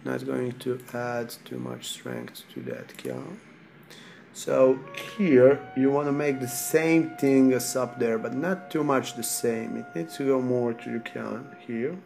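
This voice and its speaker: A man from Serbia with a Serbian accent, sick, talking through a software tutorial in halting non-native English while he clicks. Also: medium pitch, animated, creaky, music in the background. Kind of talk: screen-recorded software tutorial.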